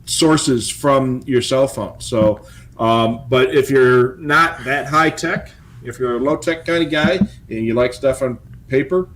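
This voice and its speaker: Man casually sharing tips, halting delivery. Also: medium pitch, a bit bright, a little hiss or hum. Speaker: co-host of a hobby show. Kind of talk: casual chat giving practical tips.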